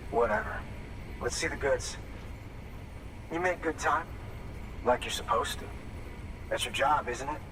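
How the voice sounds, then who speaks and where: Game junk dealer, male actor: bitter, cantankerous, sardonic, mid-pitched, heard from a distance with noticeable ambient noise. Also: slow pace, pauses often, close to an everyday voice. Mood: bitter, hostile. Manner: curt, sardonic, profane. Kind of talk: video game cutscene acting.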